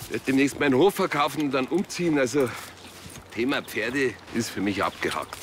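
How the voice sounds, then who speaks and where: An actor playing a gruff, evasive farmer in a TV drama, brusque and dismissive. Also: male voice, medium pitch, expressive, fairly quick, a little hiss or hum, lightly acted.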